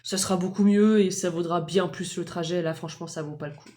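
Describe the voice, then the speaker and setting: A woman in her thirties chats to her viewers in a relaxed, candid way. Her voice sits a little low for a woman, and she fills her sentences with hesitations and soft laughter. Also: fairly quick. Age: 30s. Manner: chatty and candid, with frequent fillers and soft laughs. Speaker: vlogger. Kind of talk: casual confiding vlog chat.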